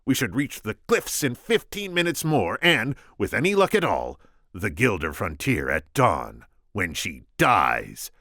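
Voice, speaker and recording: A man voicing a conceited, scheming male kidnapper from Sicily, in a shrill, mocking, smug voice, read aloud from a storybook. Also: very animated, a little slow, pushed and bright, dry recording, studio quality, exaggerated voice.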